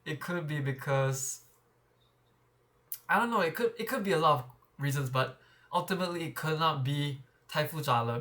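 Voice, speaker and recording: A man's voice: medium pitch, pushed and bright. A young man talking candidly in a casual vlog, reflecting with frequent restarts and repeated words, recorded on a clip-on shirt mic. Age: young adult. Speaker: vlogger.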